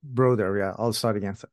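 A man's voice: fairly low pitch, lively intonation, a little slow, darkish tone, no room sound, studio quality. A man hosting a podcast interview, polite and careful, apologizing when unsure of a name. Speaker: podcast host.

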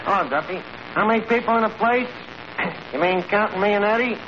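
An actor playing a brash, scheming tavern manager in a 1940s radio comedy, with a New York accent and a bluffing, word-mangling way of talking. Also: male voice, high-pitched, a little fast, natural voice. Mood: bluffing, flustered. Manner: bluffing, mangles grammar and fancy words. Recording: noisy muffled old radio broadcast, audience behind.

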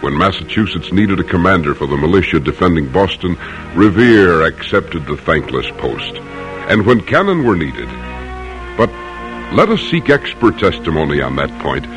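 A man narrating an old-time radio drama in a dramatic, deliberate, formal manner. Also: sits low, sing-song, a bit bright, faint music behind.

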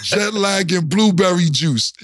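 A man's voice: sits high, a little slow, a bit bright, a little hiss or hum. A man co-hosting a podcast in a studio, playful and teasing, with heavy slang. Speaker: podcast co-host. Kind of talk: casual chat, teasing banter.

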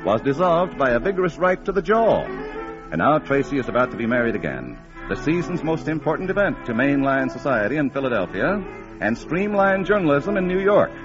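A dull vintage broadcast of a male announcer over clear music. He speaks formally and grandly at medium pitch, with sweeping 1940s radio intonation. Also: sing-song, a little fast.

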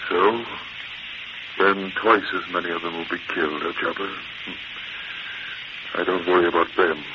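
A man's voice: low-pitched, fairly even intonation, normal speed, natural voice. An actor playing a ruthless, scheming gang boss in an old-time radio drama, commanding and menacing as he gloats over his plans. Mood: gloating, menacing. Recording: muffled, noisy vintage radio recording.

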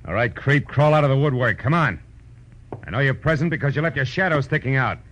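An actor playing a tough, wisecracking private detective in a 1940s radio noir, with an American accent and a clipped, world-weary, slangy delivery. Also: male voice, mid-range voice, lively intonation, a little fast, fairly bright tone. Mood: hard, threatening. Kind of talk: 1940s radio noir acting, hardboiled first-person narration. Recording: dull vintage radio recording, music behind.

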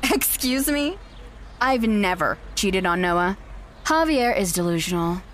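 Actress as smug, condescending young fiancée, manipulative and clipped, mid-range voice, naturalistic audio drama. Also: female voice, mid-range voice, expressive, unhurried, a little hiss or hum, natural voice. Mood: haughty, indignant. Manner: haughty, dismissive, clipped.

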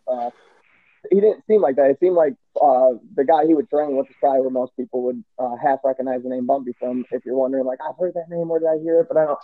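A man's voice: medium pitch, narrow, tinny audio. A man with a Midwestern accent hosting a casual podcast chat, loose and rambling, swearing now and then. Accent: Midwestern American. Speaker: host.

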